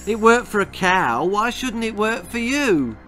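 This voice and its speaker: A man's voice: high voice, sing-song, a little slow, fairly bright tone, faint music behind, pitched above the performer's own voice, exaggerated voice. A man voicing an anxious young boy in a children's story, hesitant and pleading as he explains himself. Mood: anxious persuading.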